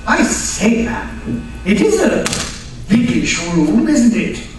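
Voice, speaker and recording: A woman's voice: fairly high pitch, sing-song, takes it slowly. A woman playing a deferential, squeamish male archaeologist's helper in an old-time radio drama. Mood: curious, wary. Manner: deferential, plain-spoken. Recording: very echoey hall, distant mic, faint noise.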